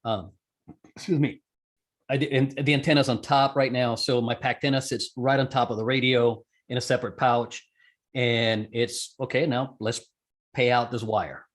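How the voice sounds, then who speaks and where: A man's voice: medium pitch, fairly even intonation, moderate pace. Man casually explaining technical steps. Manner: conversational, step-by-step explaining with self-corrections. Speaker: interview guest, amateur radio operator and skydiver.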